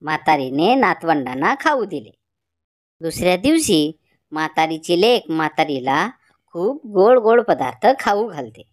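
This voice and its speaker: A woman narrating a scripted children's story as the storyteller. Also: lowish voice.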